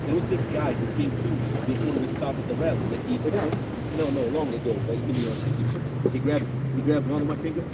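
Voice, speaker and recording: A man's voice: medium pitch, lively intonation, normal speed. A man from Ukraine telling friends a story in a loose, chatty way with many restarts, out on a busy city street. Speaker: vlogger at a street rally. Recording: loud street traffic noise.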